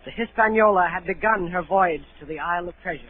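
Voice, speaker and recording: A man's voice: high-pitched, fast talker, music in the background, natural voice. A male actor playing an earnest adolescent cabin boy, telling his story wistfully in a 1930s radio drama. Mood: earnest recounting. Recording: narrow-band 1938 radio broadcast.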